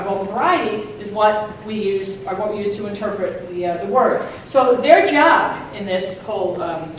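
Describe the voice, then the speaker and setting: Lady teacher introducing a student show, bit halting and chatty, really echoey, faint noise. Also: on the high side. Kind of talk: informal spoken introduction to an audience. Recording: echoey hall, distant mic, faint noise.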